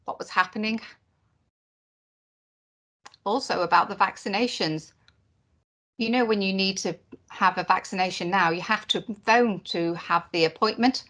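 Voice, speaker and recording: A woman voicing a deaf presenter's signed conference talk into spoken English, in short phrases with pauses and fillers. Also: fairly low pitch, a bit bright. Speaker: sign language interpreter voicing a deaf presenter. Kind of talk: live voiced interpretation of a conference talk.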